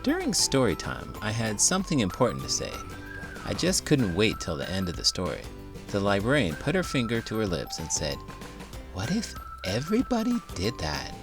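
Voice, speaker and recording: A man's voice: on the low side, wildly swooping pitch, brisk, music in the background. A man reading a children's book aloud as host, theatrical and full of big, silly emotion.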